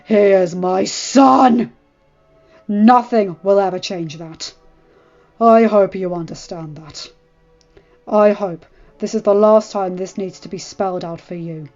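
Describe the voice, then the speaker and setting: A woman voicing a stern, furious middle-aged lord who speaks in cold, clipped lines and rises to shouts, in a dull lo-fi recording with faint music. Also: medium pitch, fairly even intonation, measured pace, natural voice.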